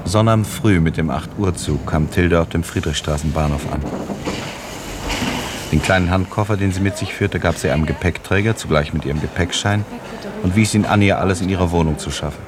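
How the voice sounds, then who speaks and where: A man narrating a literary German radio play as a calm, detached storyteller. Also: deep voice, fairly quick, background noise, natural voice.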